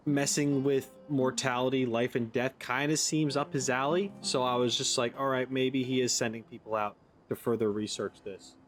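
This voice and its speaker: A man talks through a game in a casual, rambling way, working out his thoughts aloud. His voice sits at an average male pitch, with game music playing behind it. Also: fairly even intonation, moderate pace, few pauses. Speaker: gaming video host. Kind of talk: casual playthrough commentary.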